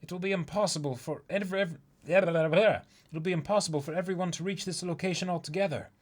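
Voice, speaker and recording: Man putting on an earnest, high-voiced skeleton pirate. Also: a little fast. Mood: earnest, urgent. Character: eager, high-voiced adult skeleton musician pirate planning a daring mission.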